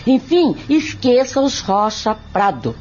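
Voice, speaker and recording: A woman's voice: fairly high pitch, big pitch swings, takes it slowly, a little mellow, dull audio, slight background noise. A Brazilian woman playing a stern, protective sister who speaks insistently in an audio drama. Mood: stern, fearful. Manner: insistent, emphatic. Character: stern, protective sister, a seamstress warning her brother. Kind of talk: audio drama acting in Portuguese.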